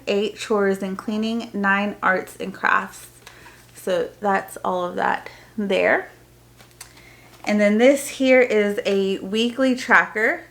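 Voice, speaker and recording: Female homeschool-parent vlogger, average pitch, casual show-and-tell delivery with plain explanations. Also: fairly bright tone. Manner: informal, explanatory. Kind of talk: casual unboxing show-and-tell, reading printed items aloud.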